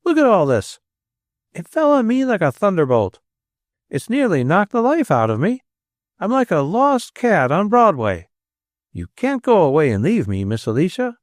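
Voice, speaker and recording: A man's voice: high voice, huge pitch swings, normal speed, no room sound, clean studio sound, pitched above the performer's own voice. A man voicing a brash but kindly young man with a New York accent, talking in a plain, coaxing, easygoing way. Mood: breezy, coaxing. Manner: breezy, plain-spoken, coaxing.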